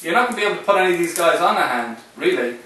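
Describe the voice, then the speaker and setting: Excitable teasing man, slightly high voice. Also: rapid-fire. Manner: teasing, excitable, stumbling restarts. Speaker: interviewer. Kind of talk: joking casual chat. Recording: echoey room, voice from far off, faint noise.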